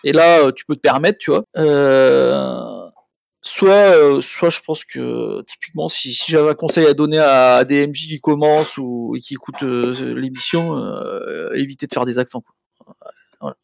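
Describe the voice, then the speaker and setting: A man giving his opinions as a panelist in a casual discussion, speaking haltingly with many colloquial tags. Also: medium pitch, gravelly, dry recording, studio quality. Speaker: panelist on a role-playing games show.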